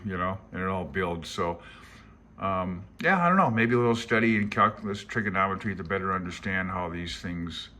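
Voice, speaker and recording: An older man, around his sixties, with a lowish voice and a bright, forceful edge. He talks casually and loosely, like a hobbyist walking viewers through a book, dropping in irreverent, joking asides. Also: slight background noise. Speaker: amateur radio video host. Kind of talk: casual rambling commentary on a handbook.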